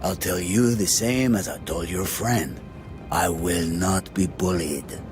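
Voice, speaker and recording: A prickly working man, a tipsy porter in a mystery game. His low voice is gruff and indignant, always on the defensive. Music plays clearly underneath. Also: takes it slowly. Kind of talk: scripted game dialogue.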